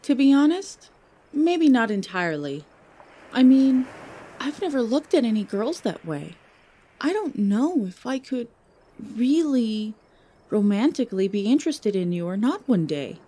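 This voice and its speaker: A woman acts out an outgoing young huntress for an audiobook story. Her voice is on the high side and swings freely in pitch. She talks informally, with earnest affection and a playful, teasing streak. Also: big pitch swings, unhurried, dark tone. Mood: earnest, tentative. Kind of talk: audiobook fan fiction character dialogue.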